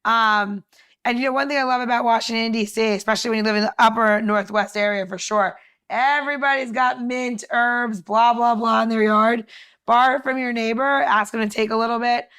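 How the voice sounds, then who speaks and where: High-voiced woman, chatty and upbeat. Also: fairly even intonation, a little fast, a bit bright, smooth. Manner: informal, upbeat, peppered with like and you know. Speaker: podcast co-host presenting cocktail recipes. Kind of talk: casual chatty recipe walkthrough.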